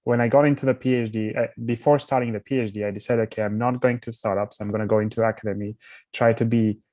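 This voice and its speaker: Low-voiced young guy from armenia, founder on a call, stops and restarts a lot, dry sound. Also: steady tone, fast talker, darkish tone, no room sound. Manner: thoughtful, restarts words often. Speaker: startup founder, guest. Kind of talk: casual interview answers.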